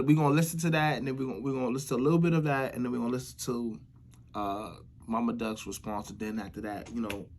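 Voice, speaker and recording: A man with an American accent giving casual spoken commentary as the host of a vlog. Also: mid-range voice. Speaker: vlogger hosting commentary show.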